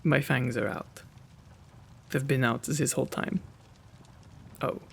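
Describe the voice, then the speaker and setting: A man playing a kind, courtly, old-world gentleman vampire in an intimate ASMR roleplay, with genteel, old-fashioned phrasing and bashful stammers. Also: mid-range voice, fairly even intonation, slow, gravelly, noisy background. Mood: flustered, reassuring. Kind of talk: ASMR roleplay, intimate one-sided dialogue.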